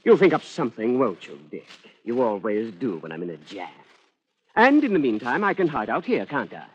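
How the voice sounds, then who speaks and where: A man's voice: medium pitch, sing-song, normal speed, slightly rough. An actor playing an arrogant, flippant British man-about-town who is a killer in hiding, in 1940s radio drama style. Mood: defiant, threatening. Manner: haughty, flippant, old-boy phrasing. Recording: dull lo-fi old radio recording.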